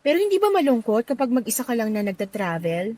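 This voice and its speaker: Chatty young woman receptionist, higher-pitched. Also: big pitch swings, fast, mellow. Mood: shy, candid. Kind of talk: Tagalog radio drama acting.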